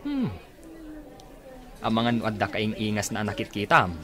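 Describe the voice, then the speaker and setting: An actor with an Ilocano Filipino accent playing a snobbish buddy who teases in a drawl, in a radio comedy drama. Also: male voice, fairly low pitch, big pitch swings, normal speed, fairly bright tone. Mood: mocking. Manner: teasing, drawling. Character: teasing, snobbish male buddy mocking his friend's crush.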